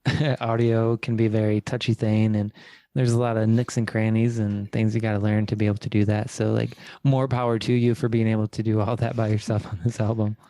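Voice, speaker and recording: A man's voice: lowish voice, little pitch movement, brisk, mellow, no echo. A man co-hosting a podcast, asking questions in a laid-back way with many fillers. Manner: laid-back, filler-heavy, laughs easily. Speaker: podcast co-host. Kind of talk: casual interview chat.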